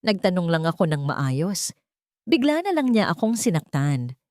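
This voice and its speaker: A woman's voice: lowish voice, very animated, normal speed, a little mellow, no room sound, clean studio sound. A woman voicing a drama-making, deceitful young sister-in-law, whining and tearful, in a story. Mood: accusing, whiny.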